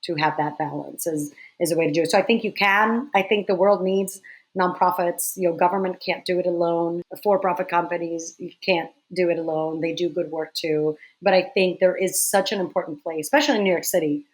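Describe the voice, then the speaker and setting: A woman in her forties from the Dominican Republic, telling stories in a casual interview with quick, animated restarts. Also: lowish voice, rough recording. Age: 40s. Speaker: guest, theater director.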